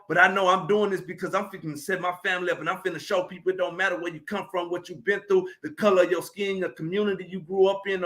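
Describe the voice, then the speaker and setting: A man's voice: high voice, sharp tone. A man, giving a preachy, emphatic motivational talk on a live stream and often raising his voice. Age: 40s. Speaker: motivational speaker.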